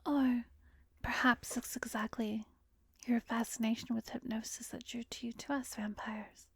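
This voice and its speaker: Casting: actress for arrogant vampiress therapist in ASMR roleplay. Slow, drawn-out, teasing and hypnotic, breathy whispers, intimate dry sound. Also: female voice, on the high side, steady tone, no room sound, exaggerated voice. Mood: amused, condescending. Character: arrogant, seductive female vampire posing as a therapist.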